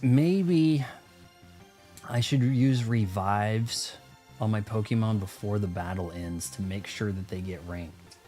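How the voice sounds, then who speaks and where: A man's voice: fairly low pitch, slow pace, warm tone. A man giving casual, rambling let's play commentary on a video game, talking to himself as he goes. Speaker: streamer playing a video game. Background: game music.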